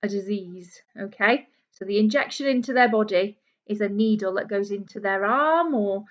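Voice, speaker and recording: A woman's voice: mid-range voice, moderate pace. A woman, a primary teacher reading a storybook aloud to young children and explaining it simply with questions. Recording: dull lo-fi small camera recording.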